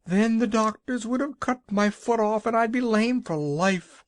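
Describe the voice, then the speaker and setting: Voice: mid-range voice, a little slow, no echo, clean studio sound, pitched above the performer's own voice, close to an everyday voice. A reader voicing a panicky village woman who wails and sobs over tiny mishaps. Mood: sobbing. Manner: wailing, sobbing, frantic.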